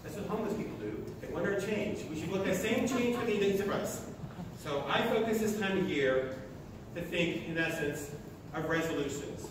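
A man's voice: high-pitched, animated, normal speed, hardly any pauses. A sixty-year-old American man from Oklahoma giving a motivational talk to an audience in short, emphatic phrases, recorded from far off in a large lecture hall. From Oklahoma, United States. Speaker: speaker at a sustainability meeting. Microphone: distant camera at the back of the room. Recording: echoey hall from far off, noisy.

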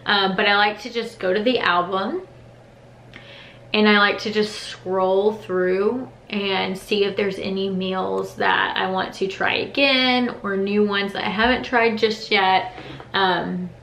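A young woman in her twenties chatting casually to camera in a small bedroom, relaxed and full of ums and likes. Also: mid-range voice, slow pace, gravelly, reverberant, a little hiss or hum. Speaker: vlogger. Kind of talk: casual vlog chat to camera. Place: small wood-paneled bedroom, indoors.

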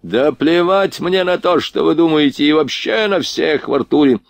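A man's voice: a little high, animated, moderate pace, gravelly, dry recording, voice pushed up. A man voicing a drunken, arrogant grand duke in an audiobook, imperious, contemptuous and bullying. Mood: haughty, irritated. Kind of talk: audiobook character dialogue.

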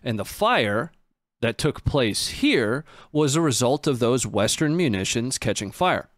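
A man's voice: mid-range voice, very animated, measured pace, no room sound. A man in his thirties giving casual live commentary on footage as a stream host, speaking into a close desk microphone. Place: small indoor studio. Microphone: close desk microphone on boom arm.

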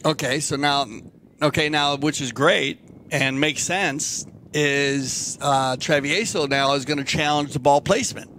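A man commentating on a polo match from a studio, chatty and halting, often restarting his sentences. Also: fairly high pitch, steady tone, pushed and bright, slight background noise. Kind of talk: live sports commentary. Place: broadcast studio.

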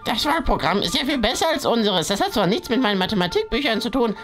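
A woman's voice: a little high, very animated, fast talker. Woman acting a nerdy schoolboy with swooping intonation. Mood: earnest. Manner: stammering, pedantic. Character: nerdy, anxious, rule-obsessed schoolboy. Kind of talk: acted children's school skit. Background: music.

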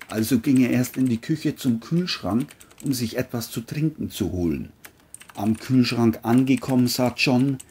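A man's voice: mid-range voice, dark tone, slight background noise. A man reading scripted narration for an audio crime story, as its narrator.

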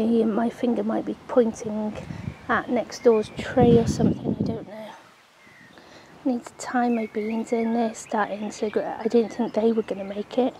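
A woman's voice: mid-range voice, noisy background. A woman chatting casually while touring her allotment garden, rambling with frequent ums. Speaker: allotment vlogger. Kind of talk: casual gardening vlog narration. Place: sunny outdoor allotment garden. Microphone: handheld camera, speaker off screen.

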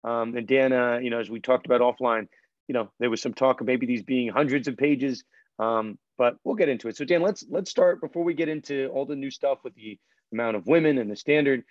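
A man's voice: medium pitch, a little fast, no echo. A man in his thirties co-hosting a casual legal podcast from a law office. He talks in a friendly way, steers the talk, and uses a lot of fillers. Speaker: podcast co-host, lawyer. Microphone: laptop webcam video call. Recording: dry, slightly dull video-call audio.

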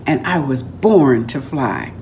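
A woman's voice: rumbling low, expressive, slow pace, darkish tone, a little hiss or hum, voice pushed down, full character voice. An older woman voicing a young male eagle who is eager, yearning and exclaiming in a storybook read-aloud. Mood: triumphant.

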